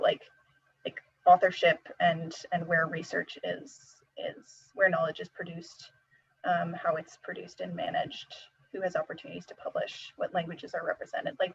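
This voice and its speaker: Husky-voiced young woman, rambling. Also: lowish voice, a bit bright, dull audio. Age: 20s. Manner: rambling, many fillers and laughs it off. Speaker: student researcher panelist. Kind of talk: casual Q&A answer.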